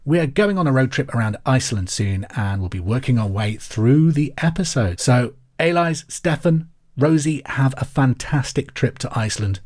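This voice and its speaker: Male quizmaster, English accent, mid-pitched voice, conversational and cheery podcast host delivery. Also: lively intonation, normal speed, darkish tone. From England. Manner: upbeat, friendly, conversational. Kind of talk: chatty podcast presenting, reading out listener messages.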